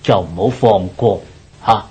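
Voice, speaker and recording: A man's voice: lowish voice, unhurried, a little mellow, husky. A man acting an older, pushy creditor in a radio drama, lecturing with sighs in Hong Kong Cantonese. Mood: nagging, warning. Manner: lecturing, sighing, presses his point.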